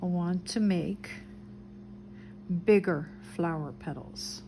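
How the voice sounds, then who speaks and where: A woman with a gentle Dutch accent narrates her crafting in an unhurried, low-key way. Her voice sits a little low and her pace is very slow. A clear background hiss runs under the recording. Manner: soft, unhurried, describes each step as she draws. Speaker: craft video host. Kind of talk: relaxed craft tutorial narration.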